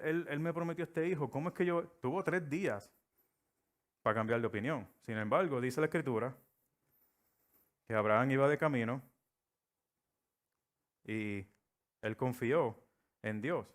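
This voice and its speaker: A middle-aged man from Puerto Rico with a Puerto Rican Spanish accent, preaching a sermon. His voice sits at an ordinary middle pitch. He tells stories and acts out the voices he quotes. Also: a bit bright.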